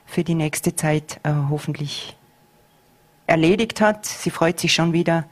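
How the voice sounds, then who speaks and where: A middle-aged woman, a school head answering interview questions earnestly, with frequent hesitations. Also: deep voice. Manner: earnest, measured, with many hesitations.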